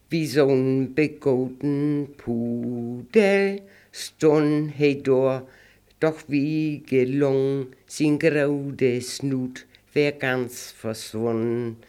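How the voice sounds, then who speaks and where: An older woman with a Low German accent slowly reciting rhymed dialect poems in a measured, sing-song way. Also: a little mellow. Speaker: interviewee reciting dialect verse.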